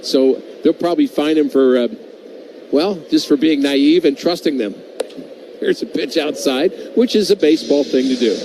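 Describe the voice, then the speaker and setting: A man doing live baseball play-by-play on the radio, conversational and wry between pitches. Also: normal speed, a little mellow. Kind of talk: live radio sports commentary with casual banter. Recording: noisy ballpark radio broadcast.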